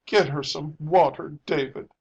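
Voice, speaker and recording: A man's voice: medium pitch, sing-song, measured pace, breathy edge, dull audio, pitched above the performer's own voice, exaggerated voice. A man voicing an anxious, plaintive wife and mother who pleads tearfully in a dramatic audiobook reading. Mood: tearful, distressed.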